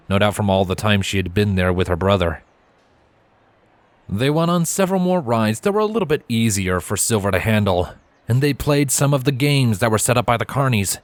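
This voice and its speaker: A man's voice: fairly low pitch, no echo. A man reading a scripted story aloud as its narrator. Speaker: fan fiction reader.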